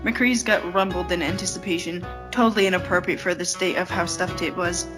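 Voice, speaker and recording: A woman's voice: lowish voice, fairly even intonation, fairly quick. Giggly woman reading aloud over guitar music. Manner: giggly, breaks off into exasperated asides. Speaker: podcast host reading fan fiction aloud. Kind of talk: informal read-aloud narration with banter. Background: plucked guitar music.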